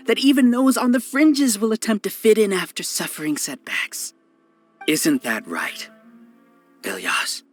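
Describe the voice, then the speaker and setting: An actor playing a cold, self-justifying male scholar villain in a video game, who speaks in a measured, lecturing and condescending way. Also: high voice, breathy edge, heavily acted. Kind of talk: game voice acting.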